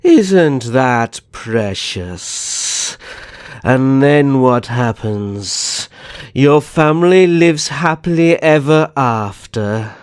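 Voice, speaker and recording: A man's voice: mid-range voice, lively intonation, extremely slow, smooth, no room sound, clean studio sound. A man playing a suave, condescending vampire naga in an ASMR roleplay, speaking in courtly endearments. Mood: mocking, silky. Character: suave older vampire naga brother, a coaxing, mocking kidnapper.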